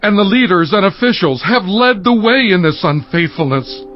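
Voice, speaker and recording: A man playing an indignant Israelite leader in a dramatized Bible reading, declaiming forcefully. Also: high voice, lively intonation, moderate pace, no room sound, soft background music. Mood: grave accusing. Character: indignant Israelite leader reporting his people's unfaithfulness. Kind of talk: dramatized Bible audio acting. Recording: dull lo-fi audio.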